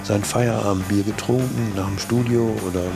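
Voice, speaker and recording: Casting: male interviewee, slightly low voice, casual hesitant delivery over music. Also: fairly even intonation, moderate pace, vocal fry. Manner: hesitant, searching for words. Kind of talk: casual, unscripted recollection.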